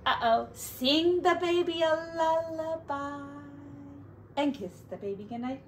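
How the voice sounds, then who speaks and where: A woman teacher speaks to young children in a high voice at an unhurried, very slow pace. Her delivery is expressive and sing-song, with stretched words, and there is slight noise in the background. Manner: expressive, drawn-out words, hushed asides.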